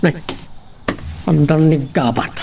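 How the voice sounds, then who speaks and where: Man playing a high, creaky sly henchman. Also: takes it slowly, mellow, slight background noise. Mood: brooding, resentful. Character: sly, cringing male henchman to rich family. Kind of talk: Ilocano radio-style audio drama acting.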